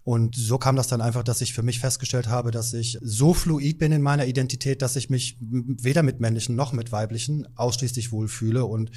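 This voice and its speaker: A man's voice: mid-range voice, steady tone, a little fast, hardly any pauses, darkish tone. A man in his forties explaining himself earnestly as a guest on an interview podcast, recorded indoors in a shop. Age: 40s. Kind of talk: conversational interview.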